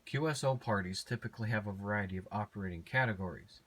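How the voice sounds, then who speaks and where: An American man who works as an amateur radio operator, talking in a measured, matter-of-fact way. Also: fairly low pitch, fairly bright tone. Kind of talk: ham radio contacts and casual narration.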